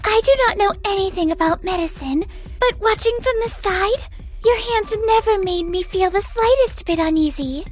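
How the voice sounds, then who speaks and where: Very high-pitched, flustered anime girl. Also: normal speed. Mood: earnest. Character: excitable, anxious young clinic girl. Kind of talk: manga dub voice acting.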